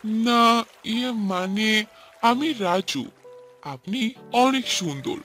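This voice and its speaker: A man's voice: sits high, extremely slow, pushed and bright, faint music behind, full character voice. A man voicing a smitten, scheming young village suitor in a cartoon, exclaiming and ingratiating. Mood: eager, courting. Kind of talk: cartoon voice acting.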